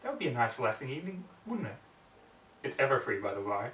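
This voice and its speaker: A man's voice: mid-range voice, very animated, quick pace, a bit bright, slight background noise. Guy narrating a dramatic story, broody clipped phrases, echoey and a bit far from the mic. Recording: roomy echo, distant microphone.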